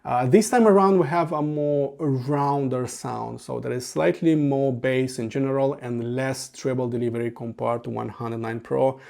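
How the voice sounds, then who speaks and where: A Romanian-accented man, a native Romanian speaker, walks through a headphone review in a steady, methodical way, stopping often on uh fillers. His mid-range voice has a gravelly, creaky edge. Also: mid-range voice, normal speed, few pauses. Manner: earnest, methodical, with frequent uh fillers. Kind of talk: scripted product review voice-over.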